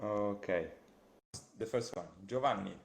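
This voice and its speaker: Slow-talking Italian man hosting a video call. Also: fairly low pitch, vocal fry, a little hiss or hum. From Italy. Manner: hesitant, friendly, halting phrasing with many fillers. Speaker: interviewer, bassoonist. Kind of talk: live-streamed interview. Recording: dull lo-fi video call audio.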